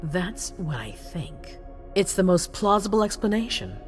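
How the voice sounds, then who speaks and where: A woman playing a cold, calculating female vampire prince in a video game, with a regal, controlled and sometimes hushed delivery. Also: mid-range voice, big pitch swings, unhurried, faint music behind. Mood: cold, concerned. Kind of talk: dramatic video game acting.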